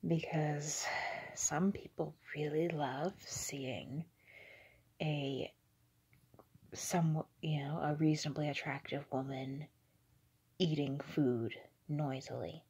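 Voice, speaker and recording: Slow, breathy whispering young woman. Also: low voice, fairly even intonation, mellow. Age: young adult. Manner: slow, hesitant, halting. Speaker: ASMR video creator. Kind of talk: whispered ASMR monologue. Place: small indoor room with curtains.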